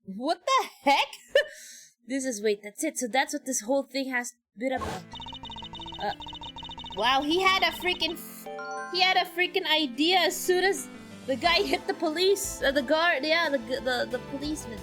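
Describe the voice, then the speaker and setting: Woman game streamer, high voice, giggly casual commentary full of exclamations, music underneath. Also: expressive, normal speed. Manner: giggly, reactive, exclaims often. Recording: music behind.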